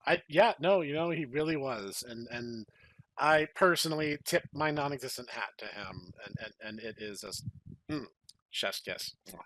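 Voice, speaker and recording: A man co-hosting a comedy podcast, bantering casually with emphatic, mock-serious praise and halting delivery. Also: mid-range voice, lively intonation, a bit bright, slight background noise, poor audio. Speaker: podcast co-host.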